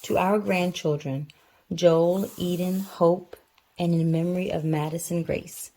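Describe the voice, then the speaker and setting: A woman's voice: on the low side, steady tone, measured pace, warm tone, slight background noise, rough recording. A woman reading a picture book aloud as a mother to her young child, chatting casually and encouragingly. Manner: encouraging, explains things to child.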